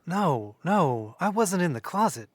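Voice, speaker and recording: A young man acting in an audio drama as a shaken young man who tells of things earnestly, with halting pauses. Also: fairly high pitch, very animated, no room sound. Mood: dazed, insistent. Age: young adult. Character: shaken young man recounting an eerie vision.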